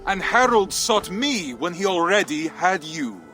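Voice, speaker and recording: Give this to a proud Viking warrior, high-pitched for a man and very animated. He declaims with rousing determination, in the dramatic style of a video game cutscene. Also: unhurried, soft background music, exaggerated voice. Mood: defiant, confident.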